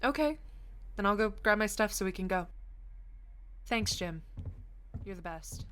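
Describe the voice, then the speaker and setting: An actress playing a friendly, grateful coworker in an audio drama, casual and polite. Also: female voice, medium pitch, animated, a little slow, fairly bright tone, slight background noise. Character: friendly, grateful female coworker asking a favour.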